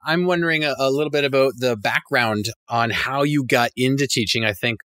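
A man's voice: medium pitch, a little fast, bright, forceful tone, clean tone, dry recording, clean studio sound. A man co-hosting a teaching podcast, thoughtful and loosely phrased with small hesitations. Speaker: podcast co-host. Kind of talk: casual interview chat.

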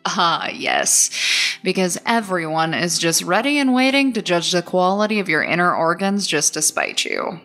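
A woman's voice: lowish voice, animated, moderate pace, a bit bright. An actress playing a tired, teasing woman companion with dry sarcasm in a horror audio drama. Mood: wry, teasing. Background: music behind the voice.

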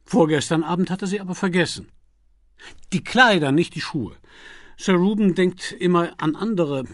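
A loyal valet from an audiobook acted by a man, stiffly proper and servile. The voice sits high and sounds close and dry. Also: normal speed, no echo, lightly acted. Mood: earnest, loyal. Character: deferential male valet, formal, proper and loyal. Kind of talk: audiobook character acting.

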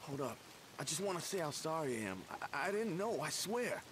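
Jittery apologetic mechanic, game role: highish, lively pitch, stammers, pleads, noisy ambience. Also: male voice, fairly quick. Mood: apologetic, pleading. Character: nervous, apologetic, eager-to-please male mechanic. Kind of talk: cinematic video game acting.

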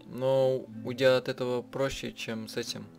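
Halting male game translator over guitar music. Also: medium pitch, flat delivery, vocal fry, a little mellow. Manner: halting, rephrasing as they translate. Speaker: let's-play narrator and translator. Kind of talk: live spoken translation of a visual novel.

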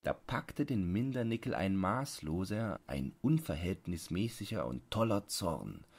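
A man's voice: deep voice, sing-song, a little slow, gravelly, a little mellow, breathy edge. A man narrating a literary audiobook as a scripted reading.

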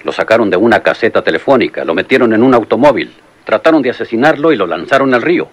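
A man's voice: mid-range voice, expressive, fast, natural voice. An actor playing an earnest police lieutenant who insists on his point to his captain, in an old radio drama. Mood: insistent. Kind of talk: 1960s radio drama acting.

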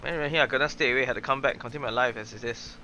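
A young man doing excitable, chatty live game commentary, exclaiming and reading dialogue aloud. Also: medium pitch, racing, muffled recording, slight background noise. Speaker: gaming streamer.

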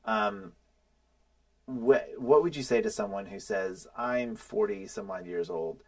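A man's voice: medium pitch, sing-song, slow, vocal fry. An American man in his forties hosting a conversational podcast, speaking emphatically and repeating phrases for stress. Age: 40s. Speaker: podcast host. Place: small indoor podcast studio. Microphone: podcast microphone on boom arm.

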